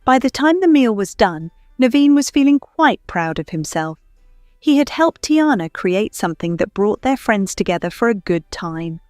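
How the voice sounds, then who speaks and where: A woman reading a scripted children's story as narrator, in an expressive storytelling manner. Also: mid-range voice, moderate pace, dry recording, studio quality. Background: faint music.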